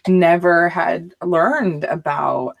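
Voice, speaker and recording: Emphatic lower-voiced woman in conversation. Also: very slow, gravelly. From Arizona and Southern California. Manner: animated, emphatic, restarts often. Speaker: teacher. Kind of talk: casual conversational chat.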